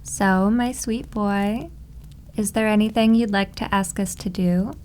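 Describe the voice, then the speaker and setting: A woman's voice: medium pitch, fairly even intonation, a little mellow, a little hiss or hum, lightly acted. A woman playing a doting, possessive yandere maid girlfriend in an ASMR roleplay, with a sugary, cooing way of teasing. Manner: cooing, sugary, drawn-out endearments. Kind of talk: slow ASMR roleplay acting.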